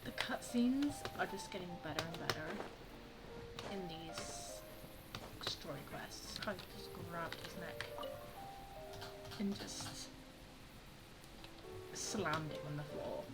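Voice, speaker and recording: A woman's voice: fairly low pitch, lively intonation, takes it slowly, pauses often, over loud music, rough recording. A woman playing a game, commenting casually and reading dialogue choices aloud. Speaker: gaming streamer. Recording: loud noise and clicks behind voice.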